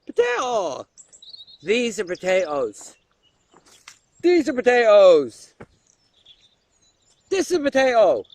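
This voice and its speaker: A playful man performs a comic how-to video in an exaggerated way. His voice is high for a man and swings around a lot, with music behind it. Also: wildly swooping pitch, slow pace, pauses often, darkish tone. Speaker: comedy performer.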